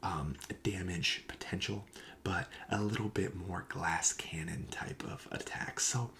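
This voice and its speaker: Soft low-voiced man playing a friendly shop clerk. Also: fairly even intonation, a little slow, full character voice. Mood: calm, explanatory. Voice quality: soft, breathy, often slipping into whisper. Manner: hesitant, casual, accommodating salesman patter. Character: friendly, reassuring male card shop clerk. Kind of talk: soft-spoken ASMR roleplay.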